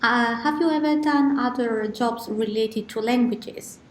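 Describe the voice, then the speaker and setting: A woman's voice: fairly high pitch, measured pace, roomy sound, a little hiss or hum. A woman interviewing a guest, asking questions politely with some hesitant fillers.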